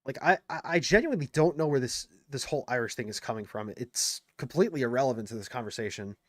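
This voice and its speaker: A man's voice: mid-range voice, lively intonation, fast talker, no echo, studio quality. A man commenting on clips in a casual, indignant way, thinking aloud with lots of fillers and restarts. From United States.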